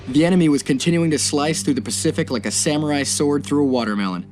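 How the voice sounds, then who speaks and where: A man's voice: fairly high pitch, quick pace, lightly acted. Navy commander narrator for a war game, grave and dry, played by a man; music behind him. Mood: resolute. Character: seasoned male naval commander, dry and resolute. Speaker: naval officer narrating.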